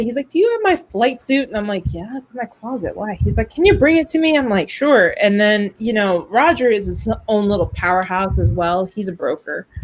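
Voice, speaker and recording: A woman with an American accent chatting informally and telling anecdotes, acting out quoted exchanges. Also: mid-range voice. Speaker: business podcast co-host. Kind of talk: casual chat, anecdotes. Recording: noticeable background noise, clicks.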